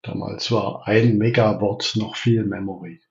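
A man in his sixties with a Swabian German accent, answering interview questions in a relaxed way with frequent hesitations and restarts. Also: on the low side, a little slow, gravelly, lo-fi sound. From Reutlingen, southern Germany. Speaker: interview guest, interim executive.

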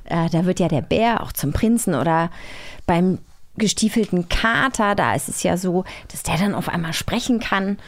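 A woman's voice: fairly low pitch, expressive, normal speed. A woman chatting casually as a podcast co-host. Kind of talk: casual chat.

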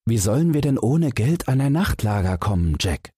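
A man's voice: medium pitch, very animated, moderate pace, mellow, slightly rough, dry recording, clean studio sound, pitched above the performer's own voice. A man voicing a noble, earnest young prince in a fairy tale. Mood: worried.

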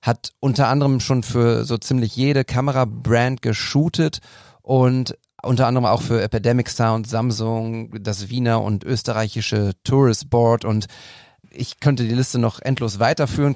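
A man's voice: mid-range voice, steady tone, normal speed, darkish tone, dry recording, studio quality. A man hosting a podcast, chatty and a bit jokey, sounding a little tired late in the evening. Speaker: podcast host.

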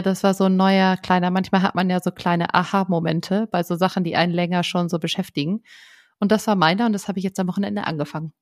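A woman's voice: medium pitch, no echo, studio quality. A woman co-hosting a casual podcast, chatting in a rambling way with fillers and frequent laughs. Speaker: podcast co-host.

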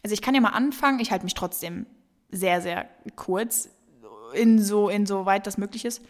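A woman's voice: medium pitch, normal speed. A woman in her twenties hosting a casual solo podcast, answering listener questions in a chatty, rambling way with many fillers. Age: 20s. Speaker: podcast host. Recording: long reverb.

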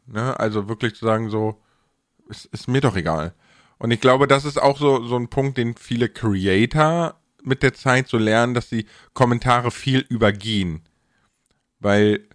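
A man's voice: medium pitch, no echo. Colloquial man chatting, dry close sound, sibilant s. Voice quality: slightly stressed sibilant s sounds. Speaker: podcast host and content creator. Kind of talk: casual podcast chat.

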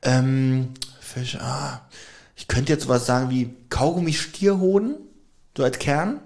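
A man's voice: mid-range voice, slow pace, creaky, darkish tone. A young man co-hosting a podcast, chatting casually about an audio drama, joking and laughing often. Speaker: podcast co-host.

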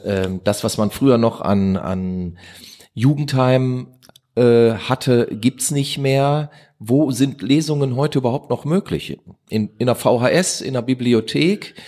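A man's voice: medium pitch, a little mellow. A man hosting a podcast interview, feeling his way through long, casual questions with many hesitations. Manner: searching, hesitant, stretches out questions.

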